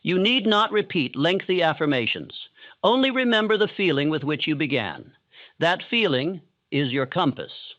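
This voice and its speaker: A man with a slightly high voice narrates a self-help audiobook meant for falling asleep. His delivery is calm, solemn and evenly measured. The sound is close and dry, though somewhat dull and lo-fi. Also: no echo. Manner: calm, steady, measured rhythm.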